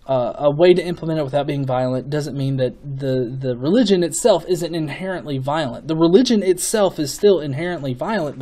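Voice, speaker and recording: A man giving off-the-cuff opinion commentary as a video host, in a casual, sweary manner with halting, repeated words. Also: a little high, darkish tone. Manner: casual, profanity-laden.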